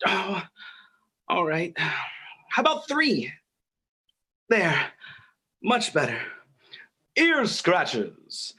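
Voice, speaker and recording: A man as a needy dog, high and animated. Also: extremely slow, pauses often, husky, heavily acted. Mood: coaxing. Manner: coaxing, mock-salesman patter. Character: needy, wheedling pet dog as pitchman. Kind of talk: comic radio ad.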